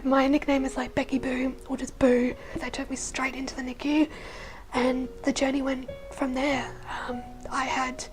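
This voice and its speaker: A young woman with a naturally husky, hoarse voice that sits high for a woman. She talks in a rambling, personal way, full of "like" and "you know", and often sinks into a breathy near-whisper. Clear music plays under her voice throughout. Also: a little mellow, poor audio. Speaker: vlogger sharing her life story. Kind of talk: casual personal storytelling.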